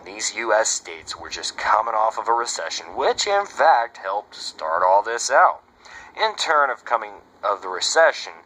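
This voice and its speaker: A man's voice: lowish voice, bright, forceful tone. A man playing a brash, slangy 1930s swing-era radio host with a showy, chummy patter, on a noisy amateur recording. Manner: showy, chummy, slangy, asks rhetorical questions.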